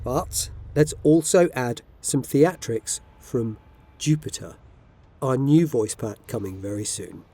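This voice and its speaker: A man's voice: mid-range voice, slow, dark tone, slightly rough. A man hosting a game demo, delivering scripted narration and role-play commands as a spaceship commander. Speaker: video host and game commander.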